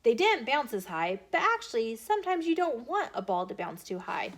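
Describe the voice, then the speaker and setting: A woman hosting storytime, reading aloud to children in an expressive, deliberate storytelling way. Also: on the high side. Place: filmed in a bookstore.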